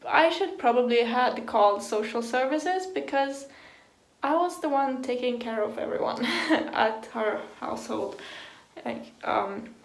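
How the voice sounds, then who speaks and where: A young Swedish woman talking frankly to the camera in accented English, her fairly high voice full of vocal fry. She speaks slowly and loosely, swearing often and leaning on "like" as a filler, with nervous little laughs. The room around her rings with a noticeable echo. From Sweden. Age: young adult. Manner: casual, swears freely, heavy use of "like", nervous laughs. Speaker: vlogger. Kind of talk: personal confessional talk to camera.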